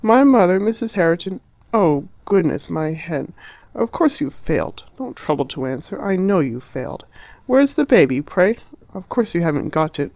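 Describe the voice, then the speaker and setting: A woman's voice: fairly low pitch, animated, moderate pace, a little mellow, no echo. A man voicing an agitated, indignant sister who scolds in hysterical bursts.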